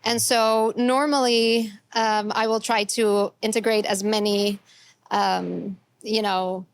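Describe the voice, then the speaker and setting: A woman's voice: mid-range voice, flat delivery, slow pace. Woman chatting easily. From Egypt. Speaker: artist guest. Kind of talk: casual interview answers.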